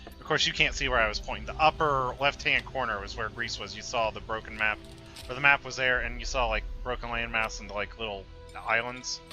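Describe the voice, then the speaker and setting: A man chats casually through a video game, thinking aloud in a loose, rambling way. His pitch sits in the middle range, and game music plays clearly behind him. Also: fairly even intonation, brisk, bright, forceful tone. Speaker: game commentator. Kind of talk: casual gameplay commentary. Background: game soundtrack.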